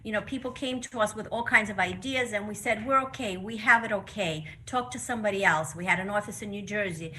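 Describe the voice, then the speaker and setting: A woman's voice: medium pitch, brisk, hardly any pauses, bright, forceful tone, rough recording. Earnest middle-aged woman from Russia. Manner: earnest, emphatic storytelling. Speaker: guest speaker. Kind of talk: personal testimony.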